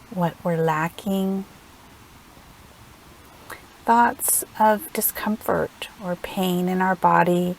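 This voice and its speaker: A woman leading a guided meditation in a gentle, soothing manner, unhurried and leaving long pauses between phrases. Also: fairly low pitch, poor audio. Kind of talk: guided meditation, slow instructional narration.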